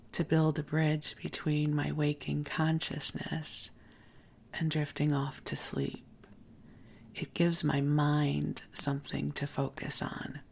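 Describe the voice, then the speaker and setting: A sleep podcast host talking softly and gently in a breathy, husky, half-whispered voice, soothing and unhurried, as if keeping a listener company at bedtime. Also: medium pitch. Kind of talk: soft, intimate sleep podcast talk.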